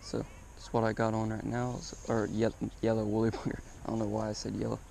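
Creaky-voiced man vlogging outdoors. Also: fairly low pitch, quick pace. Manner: excitable, exclaiming, slangy. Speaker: fishing vlogger. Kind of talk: casual outdoor vlog chat to viewers. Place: outdoors on a grassy reservoir bank. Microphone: body-worn action camera mic. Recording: outdoor action camera with faint background noise.

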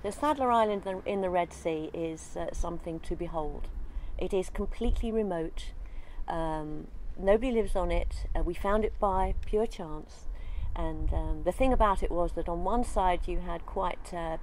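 Chatty older woman, lowish voice. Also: moderate pace, hardly any pauses, a little mellow, a little hiss or hum, low-quality recording. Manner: chatty, thinks aloud with many ums. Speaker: interviewee, sailor. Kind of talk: casual interview chat. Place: outdoors in a leafy garden.

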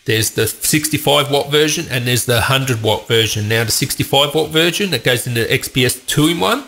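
Tech host, man, stuffed up from a cold, gravelly edge, chatty and opinionated. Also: medium pitch, moderate pace, bright, forceful tone, slight background noise. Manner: chatty, opinionated, rambling asides. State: has a cold, congested voice. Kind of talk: casual tech news commentary.

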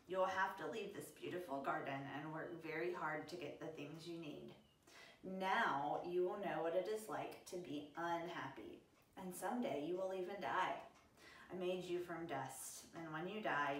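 A woman voicing God in a children's Bible story, speaking in a calm, grave manner. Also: lowish voice, slight background noise, lightly acted. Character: God, a calm, solemn, fatherly voice. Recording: echoey room, slightly distant mic.

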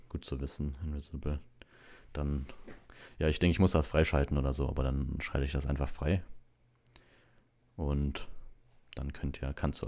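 A young adult man in a relaxed style, reading a bedtime story or chatting casually as a livestreamer. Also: very deep voice. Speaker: audiobook reader and livestreamer. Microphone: ordinary plugged-in microphone.

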